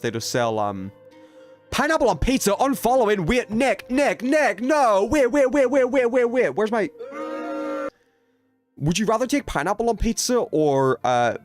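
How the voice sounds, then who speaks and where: A man from the UK chatting casually to his viewers while gaming, with an emphatic, opinionated, sweary way of talking. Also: mid-range voice. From United Kingdom. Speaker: video game livestreamer.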